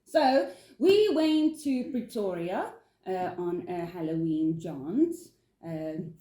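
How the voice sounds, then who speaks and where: A woman's voice: on the low side, lively intonation, takes it slowly, dark tone. A woman from South Africa, not a native English speaker, chatting casually as a vlog co-host in a giggly, bubbly way with hesitant fillers. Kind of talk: casual chat. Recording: echoey room.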